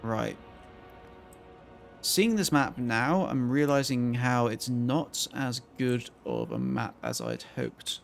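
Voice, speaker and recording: A male streamer with a croaky voice, chatting casually to his viewers as he thinks aloud and plans his moves in a strategy game. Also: mid-range voice, sing-song, slow pace, faint music behind. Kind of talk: casual gameplay commentary to chat.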